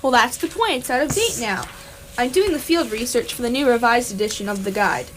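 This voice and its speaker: Noisy recording, high female voice actress, calm practical alien traveler, explaining plainly, radio play. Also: a little fast. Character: calm, practical alien hitchhiker and guidebook researcher. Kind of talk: amateur radio play acting. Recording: clear background noise.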